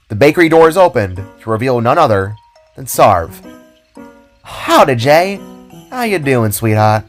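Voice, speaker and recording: A man narrates a written story as its storyteller. His pitch sits in the middle of the male range, and music plays behind his scripted reading. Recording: background music under the voice.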